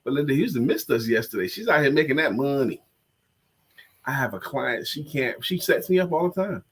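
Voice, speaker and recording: A man's voice: medium pitch, very animated, brisk, breathy edge. A congested man co-hosting a casual live-stream chat, joking and self-deprecating. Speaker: co-host and coach.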